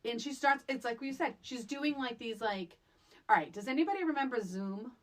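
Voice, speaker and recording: A woman's voice: fairly high pitch, normal speed. A middle-aged woman co-hosting a casual, comedic film recap, emphatic and incredulous, often repeating phrases for effect. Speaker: podcast co-host.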